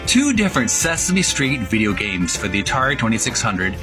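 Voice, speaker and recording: A man hosting informal video commentary, halting as he goes and drawing out key words for emphasis. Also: lowish voice, big pitch swings, music in the background. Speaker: host of a retro gaming channel. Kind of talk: informal video commentary with read-aloud passages.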